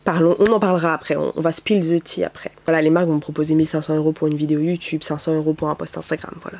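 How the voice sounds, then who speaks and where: A woman's voice: deep voice, creaky, darkish tone. Young youtuber girl with a cold, nasal and stuffy, chatty with lots of euhs, talking at home. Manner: chatty, many "euh" fillers. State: has a cold, speaks through her nose. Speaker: YouTuber, content creator. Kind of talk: casual talk to camera. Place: at home, window open, rain outside.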